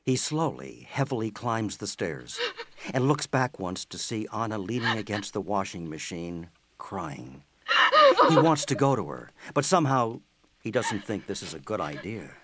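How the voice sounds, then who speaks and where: A man narrating an audio play in a scripted, measured storytelling manner. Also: lowish voice, big pitch swings, a bit bright, slight background noise.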